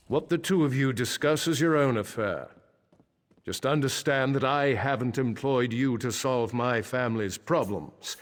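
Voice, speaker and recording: Stern, worried dark elf patriarch played by a man, game dialogue, formal and measured, long hall reverb, faint music. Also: medium pitch, natural voice. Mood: guarded, stern. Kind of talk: video game dialogue acting.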